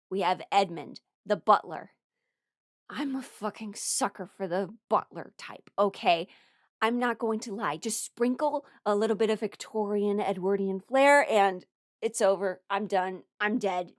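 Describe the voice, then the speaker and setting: A woman's voice: medium pitch, no echo, clean studio sound. A woman rambling casually as a fan, gushing and emphatic, with dramatic exclamations and casual swearing. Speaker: voice content creator ranking characters.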